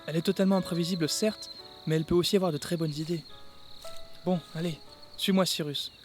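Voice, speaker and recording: A man's voice: a little high, fairly even intonation, quick pace, faint music behind, natural voice. An actor playing a confused young man who has lost his memory and keeps asking what happened. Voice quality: bewildered, easily led.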